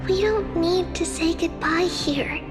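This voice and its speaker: Very high, slow, gentle seer. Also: female voice. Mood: tender, hopeful. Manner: tender, solemn, deliberate. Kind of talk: video game voice acting. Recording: loud music behind the voice.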